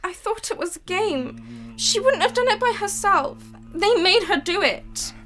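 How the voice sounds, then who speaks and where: A woman's voice: very high voice, expressive, unhurried, fairly bright tone, pitched above the performer's own voice, heavily acted. A woman playing a nervous, secretive housewife and mother in a radio drama, evasive and pleading. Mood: frantic. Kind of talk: student radio drama acting.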